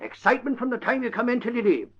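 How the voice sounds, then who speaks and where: A high-voiced Irish sidekick in a vintage radio play. He is folksy and blustery, easygoing in his talk. The recording is dull and old. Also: sing-song, rapid-fire, husky, exaggerated voice. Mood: breezy bluster. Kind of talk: old-time radio drama acting.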